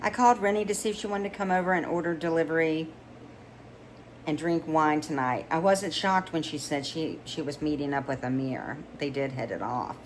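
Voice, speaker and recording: A woman's voice: low voice, normal speed, a little hiss or hum, rough recording. A woman reading a romance novel aloud in a conversational way, with small stumbles and restarts. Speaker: audiobook reader.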